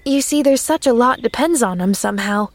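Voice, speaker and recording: A woman reading aloud and voicing an eager, kind, chatty young girl with a high, earnest, breathless way of speaking. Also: lively intonation, fairly quick, no echo.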